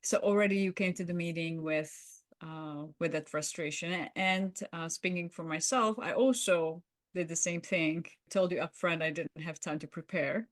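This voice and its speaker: A woman's voice: on the low side, normal speed. A woman co-hosting a podcast, talking in a conversational, reflective way as she explains her observations. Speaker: podcast co-host. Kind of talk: conversational debrief of a roleplay.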